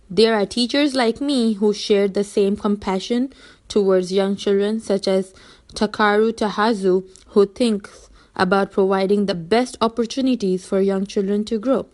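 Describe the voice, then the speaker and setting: Earnest young woman presenting a seminar. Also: mid-range voice. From Bangladesh. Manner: earnest, steady, occasional restarts. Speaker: teacher.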